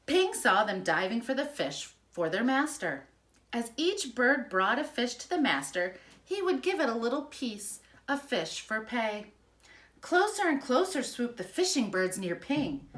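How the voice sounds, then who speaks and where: Female storybook reader for children: high-pitched, expressive and emphatic, taking her time. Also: measured pace. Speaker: school principal reading aloud. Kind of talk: children's storybook read-aloud.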